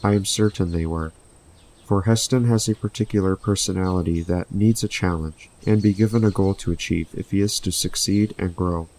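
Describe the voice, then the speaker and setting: Deep-voiced, solemn guardian spirit played by a man. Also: darkish tone. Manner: formal, measured, archaic phrasing. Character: calm, solemn male messenger guardian spirit. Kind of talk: scripted audio drama acting.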